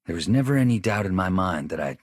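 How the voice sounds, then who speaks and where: Earnest game spaceship engineer, low-pitched, rough-edged husky voice, measured, sincere, shaken by trauma. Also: male voice, lively intonation, dry recording, clean studio sound. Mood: calm, sincere. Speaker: video game companion character. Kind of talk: naturalistic game dialogue acting.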